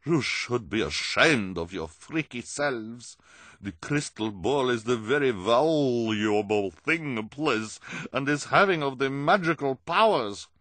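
A man's voice: mid-range voice, animated, takes it slowly, fairly bright tone, no room sound, voice pushed up. A man voicing a bossy woman fortune teller with an odd accent and broken phrasing that keeps slipping in "please". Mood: scolding. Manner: broken foreign-sounding phrasing, stray "please"s. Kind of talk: audiobook character voice.

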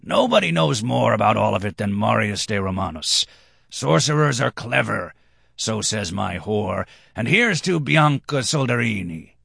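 A man voicing a drunken, boastful, impish nobleman who is quick to anger and speaks in emphatic declamation. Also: lowish voice, very animated, vocal fry, fairly bright tone, slightly rough, dry recording, studio quality, pitched above the performer's own voice, exaggerated voice. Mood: angry, insistent.

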